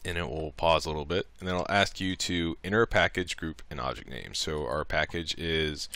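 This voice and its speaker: Deep-voiced man narrating a casual tutorial. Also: a little fast, pushed and bright, no echo. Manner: informal, thinking aloud as he works.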